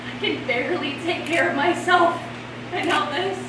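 A woman's voice: high voice, moderate pace, natural voice. A young woman acting a distressed college student in a theatrical stage monologue. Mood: anxious. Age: young adult. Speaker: stage actress. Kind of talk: theatrical monologue before a live audience. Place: small stage before a seated audience. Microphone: far camera. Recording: echoey noisy stage, distant camera microphone.

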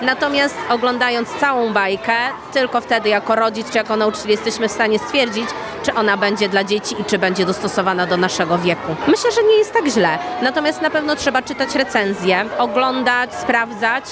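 Woman with highish voice, crowd behind. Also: animated, a little fast. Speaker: parent or teacher interviewee. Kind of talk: vox pop interview. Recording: crowd chatter in public space. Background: crowd chatter.

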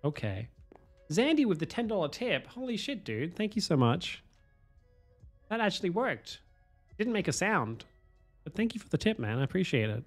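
A man, streaming a game from home on a headset, hoarse from a cold, swearing and joking with chat. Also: sits high, sing-song, moderate pace, long pauses, soft background music. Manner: swearing, self-mocking, chatting to viewers. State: hoarse, losing his voice from a cold. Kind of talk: casual live-stream chatter while playing.

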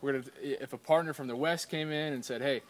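A man's voice: medium pitch, fast. A middle-aged man explaining plainly in a casual interview outdoors in a garden. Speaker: field staff.

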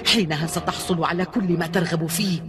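A woman's voice: on the low side, steady tone, quick pace, heavy background noise, lightly acted. A woman as an imperious, cruel evil queen. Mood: menacing command. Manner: imperious, menacing. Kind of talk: dubbed cartoon villain acting.

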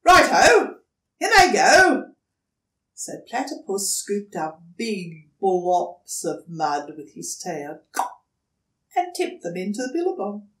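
An older woman reading a children's story aloud, deliberate, dramatic and expressive, in a living room. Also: fairly low pitch, echoey room, far from the mic. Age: older, 60s or above. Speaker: storybook reader. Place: indoors, furnished living room.

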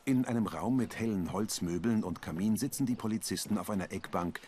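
A man narrating audio description for a TV crime comedy in a calm, even reading voice. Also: fairly low pitch, brisk, slight background noise.

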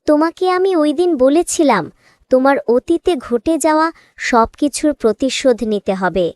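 A woman's voice: high voice, no room sound. A woman voicing a protective fairy guide in a fairy-tale cartoon, solemn and urging.

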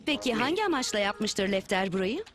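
A woman voicing a patient, measured schoolteacher quizzing a class in a children's cartoon. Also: medium pitch, sing-song, close to an everyday voice. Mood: wry, correcting. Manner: measured, instructive. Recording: music behind the voice.

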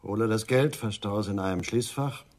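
An actor playing a blunt, matter-of-fact criminal for hire in a radio crime drama. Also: male voice, fairly low pitch, a little fast, darkish tone, lightly acted. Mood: businesslike. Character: hard-nosed, businesslike male criminal for hire.

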